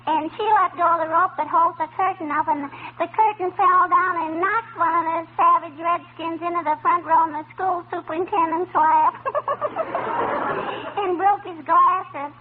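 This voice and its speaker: An extremely high little-girl voice, cheerfully persistent and full of mischief. She prattles like a schoolchild telling stories in an old radio comedy. The sound is thin and narrow, with some background noise. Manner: childish, breathless storytelling with mangled words and verbal tics. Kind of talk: 1940s radio comedy acting. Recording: tinny, noisy old radio recording.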